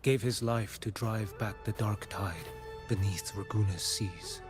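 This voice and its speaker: Male actor as grave blind wanderer, low voice, measured and deliberate, video game drama, music behind. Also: fairly even intonation, close to an everyday voice. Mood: solemn. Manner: solemn, measured, deliberate delivery. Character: grave blind male envoy tracking the dark tide.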